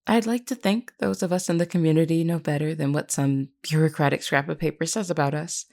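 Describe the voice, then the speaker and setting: Woman acting as a low, creaky, courteous vampire queen. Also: brisk. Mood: dryly disapproving. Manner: polite, soft-spoken reassurance with hesitant asides. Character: gentle, courteous vampire queen welcoming a newcomer. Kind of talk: intimate audio roleplay acting.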